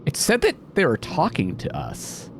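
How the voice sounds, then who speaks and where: A man's voice: medium pitch, wildly swooping pitch, moderate pace, vocal fry, a little hiss or hum. A man doing gameplay commentary, thinking aloud and exclaiming as he reads on-screen text. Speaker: let's play host. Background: atmospheric game music.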